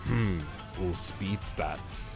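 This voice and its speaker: A man voicing a menacing, boastful villain fighter with a deepened gravelly voice in an amateur anime parody dub. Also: drawn-out words, heavily acted. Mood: cool menace. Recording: loud music over the voice.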